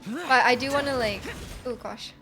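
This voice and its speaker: A woman live streaming a game, chatting casually with an excitable manner and often repeating her phrases. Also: fairly high pitch, normal speed. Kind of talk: casual live stream chat while playing. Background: game music.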